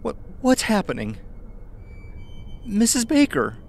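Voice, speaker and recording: A man voicing a shocked, frightened male survivor who stammers haltingly in a horror audio drama. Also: high-pitched, huge pitch swings, creaky, faint music behind, voice pushed up, full character voice. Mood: bewildered fear.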